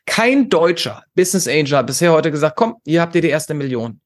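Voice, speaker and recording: A man's voice: a little high, very animated, fairly quick. A man from Germany talking as a podcast guest, giving opinions emphatically and outspokenly with rhetorical questions. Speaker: podcast guest, conference founder. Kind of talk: opinionated interview talk.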